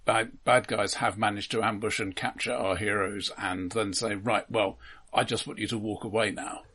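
A man co-hosting a casual film discussion, explaining haltingly and often repeating words as he goes. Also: on the low side, fairly even intonation, sharp tone, slightly rough. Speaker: film podcast host.

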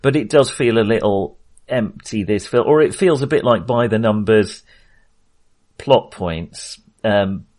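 Low-pitched man chatting about films. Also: measured pace, a little mellow. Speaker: film podcast co-host. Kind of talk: casual film discussion.

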